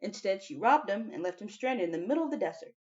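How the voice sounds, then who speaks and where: Lady reading a kids book out loud, voice goes up and down a lot, kinda muffled lofi audio. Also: medium pitch, expressive, fast. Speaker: audiobook reader. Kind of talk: narrated children's novel reading.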